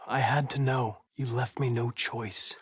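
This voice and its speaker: A man's voice: mid-range voice, fairly even intonation, measured pace, a bit bright, slightly rough. A man playing a polite, precise young male android detective in a dramatic video game. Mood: subdued, regretful. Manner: precise, formal, clipped. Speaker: police investigator android.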